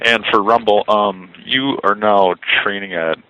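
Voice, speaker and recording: A man's voice: on the low side, steady tone, measured pace, clean tone. A male reporter asking fighters questions on a conference call in a friendly, polite way. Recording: tinny phone line with faint hiss.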